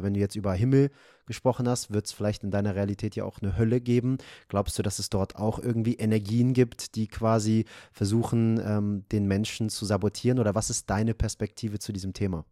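Low dark-voiced interviewer, dry sound. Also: male voice, no echo. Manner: long, winding questions with fillers. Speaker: host. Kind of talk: interview questions.

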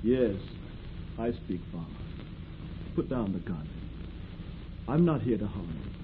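Steady, defiant runaway man. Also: lowish voice, unhurried. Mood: calm, guarded. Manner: steady, dignified, resolute. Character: tall, weary, defiant runaway slave in his twenties. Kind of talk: 1940s radio acting. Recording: tinny, noisy old radio recording.

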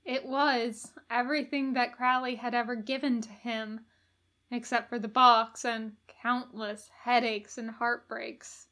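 Bright, higher-pitched woman reading a story. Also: flat delivery, measured pace. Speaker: audiobook reader. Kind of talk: expressive scripted narration of fan fiction.

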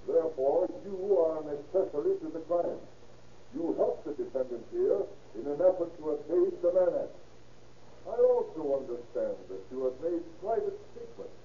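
An actor playing a stern, deliberate judge with formal, measured authority, in 1930s radio style. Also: male voice, fairly high pitch, dark tone, lightly acted. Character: stern, deliberate male judge delivering a reckoning. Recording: muffled, echoey, distant, noisy old radio recording.

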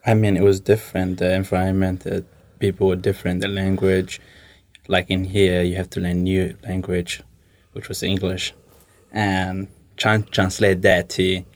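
A young man speaks in a low register with little melodic movement. He sounds like an interview guest thinking aloud, hesitating and circling back to rephrase his answers in informal English. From Somalia. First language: Somali. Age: 20s.

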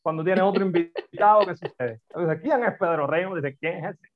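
A Cuban-accented man talks animatedly over what sounds like a tinny phone line, his pitch sitting somewhat high. He builds his points from short repeated phrases in a relaxed interview. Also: normal speed. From Cuba. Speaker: podcast guest, entrepreneur. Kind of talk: conversational interview.

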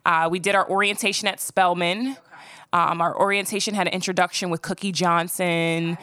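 A woman's voice: lowish voice, fairly even intonation, moderate pace, pushed and bright, clear, resonant tone. A young woman in her twenties from South Jersey with a New Jersey accent, a guest telling her story in a chatty, upbeat podcast interview. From South Jersey, USA. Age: 20s. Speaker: guest, agency founder.

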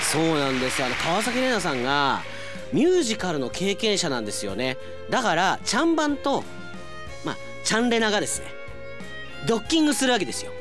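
A male radio announcer narrating a drama and then chatting playfully with listeners, with jokes and bursts of rapid talk. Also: high voice, a bit bright. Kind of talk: radio drama narration and casual host chat.

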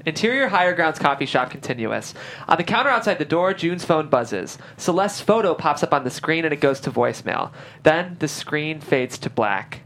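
A woman's voice: low voice, sing-song, moderate pace, a bit bright, slight background noise. A woman reading stage directions as scripted narration at a table read.

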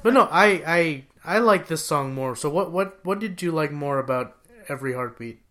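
A man's voice: fairly high pitch, lively intonation, moderate pace, sharp tone. A man chats casually as a podcast co-host. He stops and restarts his sentences a lot, feeling his way toward what he means. The tone stays informal and conversational. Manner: hesitant, restarts often, searches for words. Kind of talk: casual chat counting down songs.

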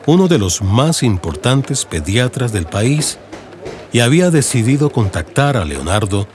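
A man's voice: mid-range voice, a little mellow. A man with a Colombian accent narrating a scripted audiobook in radio-drama style. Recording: faint background noise and ambience.

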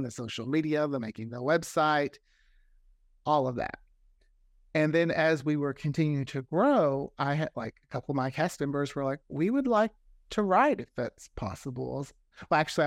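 A man with an American accent speaks as a guest in a casual interview. His voice sits a little high and is captured very dry. He is chatty and anecdotal, slipping into quoted dialogue as he explains things. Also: no echo. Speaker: interview guest, fiction podcast creator.

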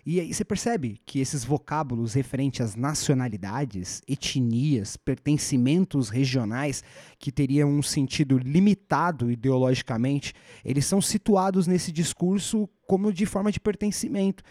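Mellow-voiced Brazilian man explaining history. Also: medium pitch, moderate pace, dry recording. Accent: Brazilian Portuguese. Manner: thoughtful, restarts phrases, rhetorical asides. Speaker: podcast host.

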